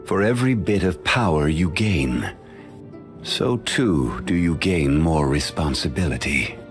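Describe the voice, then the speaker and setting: An actor voicing a dignified, ancient, learned man in a video game, speaking in a measured, formal and courteous way. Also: very deep voice, animated, soft background music. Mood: calm, formal.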